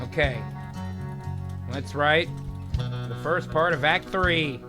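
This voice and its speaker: A man's voice: fairly high pitch, takes it slowly. A man hosting a comedy improv show, mixing jokey, profane banter with dramatic story narration. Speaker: host and improv performer narrating. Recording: guitar music behind the voice. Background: plucked guitar music.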